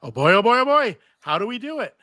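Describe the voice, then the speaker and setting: A man's voice: sits high, big pitch swings, fast talker, bright, forceful tone, voice pushed up, exaggerated voice. A man voicing a sweet, naive little cartoon girl in a cutesy, eager way at a live table read.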